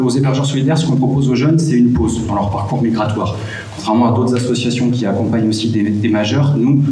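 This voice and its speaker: A man giving a prepared conference talk with personal anecdotes, in a measured, hesitant way with frequent pauses. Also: medium pitch, warm tone. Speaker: conference speaker from a volunteer hosting association. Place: echoing room at a public conference. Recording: roomy, distant mic, faint background noise.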